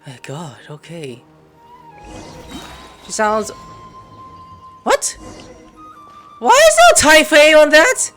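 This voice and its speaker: A woman's voice: sits high, wildly swooping pitch, takes it slowly, long pauses, fairly bright tone, soft background music. A woman hosting a gaming video with casual, rambling commentary and exclamations, prone to swearing. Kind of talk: casual let's play commentary.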